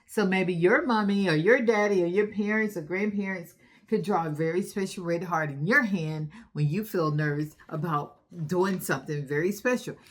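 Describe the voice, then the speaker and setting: A woman hosts a read-aloud for young children at a slow, deliberate pace. She recaps the story in an instructive, encouraging manner. She often checks in with her little listeners by asking them questions. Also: medium pitch.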